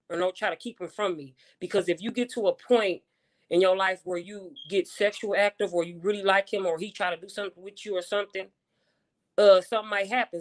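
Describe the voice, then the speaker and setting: Earnest mother speaking loosely. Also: lowish voice. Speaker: participant, mother of a teen. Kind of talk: casual group discussion.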